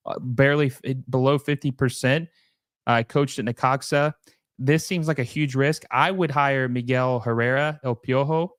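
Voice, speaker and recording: A man's voice: medium pitch, a bit bright, no room sound, studio quality. A young man hosting a casual live stream, talking loosely with lots of fillers and restarts as he reads and answers viewer questions. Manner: rambling, many fillers and restarts. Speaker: livestream host.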